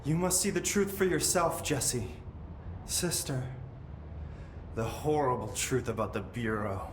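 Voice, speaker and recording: A man playing an eerie possessed young American in a video game. He talks slowly, resentful and entranced, slipping into cryptic chant-like repetition. The room echoes around him over loud background ambience. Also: mid-range voice, lively intonation, a little hiss or hum, lightly acted. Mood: calm, insistent. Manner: slow, trance-like, sing-song repetition of cryptic phrases. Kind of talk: video game cutscene acting.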